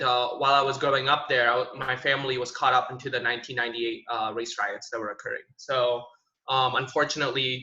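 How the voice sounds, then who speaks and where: A young man from Indonesia talks quickly in a bright, forward voice, with a steady, flat pitch. He tells his story casually and keeps filling gaps with "you know" and "um". The sound is roomy and a little dull, like a video call picked up in an echoey room. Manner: relaxed and conversational, many fillers like "you know" and "um". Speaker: panel speaker, tech professional. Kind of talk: online panel, personal story.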